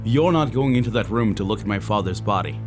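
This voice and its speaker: A man's voice: fairly low pitch, animated, quick pace, music in the background, close to an everyday voice. A man playing a cruel, domineering, jealous young master of the house in an audio drama. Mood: cold, commanding.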